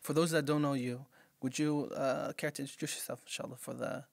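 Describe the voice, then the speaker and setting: Hesitant podcast host, dry sound. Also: male voice, medium pitch, steady tone, fairly quick, vocal fry, no room sound. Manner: hesitant, many fillers and restarts. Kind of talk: podcast conversation.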